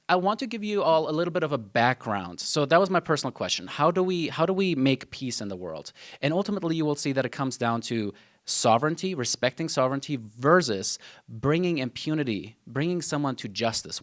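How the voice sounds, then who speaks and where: Man in his 20s, from Germany, university slide talk, lots of ums and uhs, relaxed asides. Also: medium pitch. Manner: frequent um and uh fillers, informal asides. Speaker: law student presenter.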